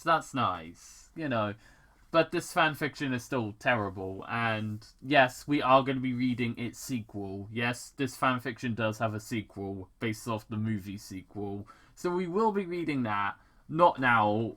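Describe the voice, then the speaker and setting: Bright-voiced man reading fan fiction casually. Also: medium pitch. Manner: sardonic, informal, stumbling over lines. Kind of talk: casual read-aloud with side commentary.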